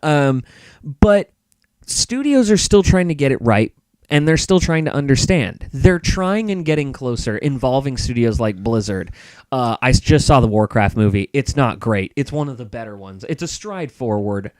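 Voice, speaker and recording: An American man with a mid-pitched voice, recorded dry and without room sound. He talks casually as a podcast guest reviewing films, laying out his opinions and explaining his reasoning as he goes. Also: lively intonation, moderate pace, a little mellow, no room sound.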